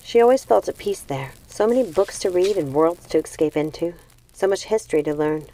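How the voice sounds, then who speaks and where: A woman's voice: on the low side, animated, brisk, warm tone, rough recording. A woman reading a novel aloud as scripted storybook narration. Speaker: audiobook reader.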